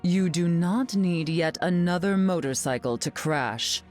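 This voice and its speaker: Slow, haughty, sardonic mutant queen played by an actress. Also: on the low side, a little mellow, faint music behind, natural voice. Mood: cool, probing. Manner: haughty, drawling, dryly mocking. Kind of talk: video game character voice lines.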